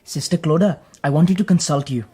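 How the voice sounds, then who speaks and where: Soft, husky, eager young nobleman. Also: low-pitched, brisk. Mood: eager curiosity. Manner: polite, earnest, inquisitive. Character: eager, naive, courteous young Indian nobleman. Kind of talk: radio drama acting.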